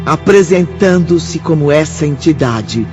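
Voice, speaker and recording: A man's voice: a little high, lively intonation, a little slow. A Brazilian man narrating a radio drama in a solemn, emphatic, scripted style. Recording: muffled, faint music behind.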